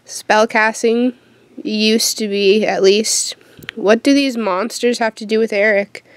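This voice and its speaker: An actress playing a defiant, sharp-tongued teenage witch in an audio horror drama, intense and dramatic. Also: a little high, fairly even intonation, slow, smooth, dry recording. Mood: troubled, questioning.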